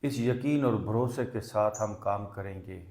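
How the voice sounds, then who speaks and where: Guy doing guided meditation, really slow, long gaps, repeats phrases, echoey room. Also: lowish voice, a little mellow. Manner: deliberate, repetitive phrasing with long pauses. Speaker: yoga master and meditation teacher. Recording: roomy, echoing sound.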